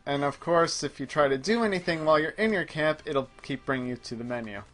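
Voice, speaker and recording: A man's voice: fairly high pitch, animated, fairly quick, fairly bright tone, faint music behind. A man giving casual gameplay commentary as he plays, explaining details a little pedantically. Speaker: host playing the game.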